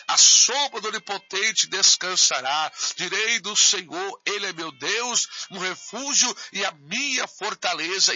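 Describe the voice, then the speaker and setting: Man, pastor, fervent repetitive prayer, bright high voice, dull radio sound. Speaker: pastor leading intercessory prayer.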